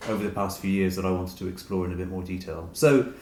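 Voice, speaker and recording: A man's voice: sits low, steady tone, fast, darkish tone. A man in his late forties giving a reflective, hesitant interview, thinking aloud as he goes. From Dublin, Ireland. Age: late 40s. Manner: hesitant, thoughtful, hedging with "sort of". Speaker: interview guest, short story writer. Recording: roomy echo, noticeable background hiss.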